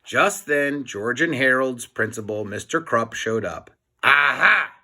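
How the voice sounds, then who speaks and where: Male children's storybook reader: expressive, unhurried, with a lot of swing in the pitch. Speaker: teacher reading a children's book aloud.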